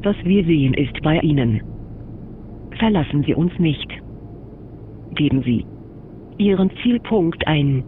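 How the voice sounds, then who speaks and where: An actor playing a solemn man who muses in measured, declamatory short phrases in an experimental radio drama. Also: expressive. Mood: solemn, detached. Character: solemn male voice musing abstractly on pain. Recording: dull lo-fi sound, rumbling background noise.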